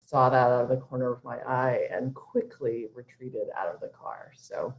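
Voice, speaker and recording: A woman's voice: a bass voice, normal speed, creaky. A woman from Alabama introducing herself as a doctor, friendly and upbeat, with many ums. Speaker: gynecologic oncologist. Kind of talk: self-introduction on video call. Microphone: video call. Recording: dull lo-fi video call audio.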